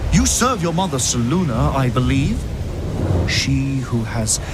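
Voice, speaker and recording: Arrogant wizard villain, slow drawling menace, wildly swinging pitch, game drama, heavy background noise. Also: male voice. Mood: silky persuasion. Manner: pompous, theatrical, drawn-out. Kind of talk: dramatic video game acting. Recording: loud noisy ambience.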